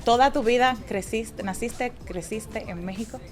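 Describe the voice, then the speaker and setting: A woman, a religious sister hosting a podcast in clear presenter and interview talk. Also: fairly high pitch, unhurried, soft background music. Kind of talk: scripted intro and warm interview talk.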